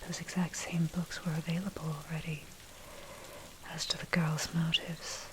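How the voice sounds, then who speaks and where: A woman whispers a scripted ASMR reading over clearly audible rain and thunder noise. Her breathy, unvoiced delivery stays low and very even, measured and bookish throughout. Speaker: narrator reading own dark history article.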